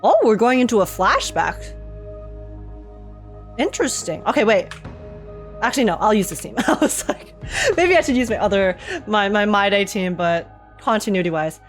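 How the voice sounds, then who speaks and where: A woman streamer giving casual, excited gaming commentary, gushing and repeating phrases for emphasis in informal speech. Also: mid-range voice, normal speed, pauses often, faint music behind. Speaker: streamer reacting to a video game.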